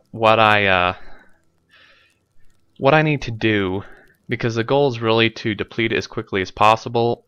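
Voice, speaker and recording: A man's voice: on the low side, pushed and bright, clean tone, dry recording. A man with a stuffy nose commenting casually on his gameplay, thinking aloud with lots of fillers, recorded on a desk microphone at home. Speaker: let's play host.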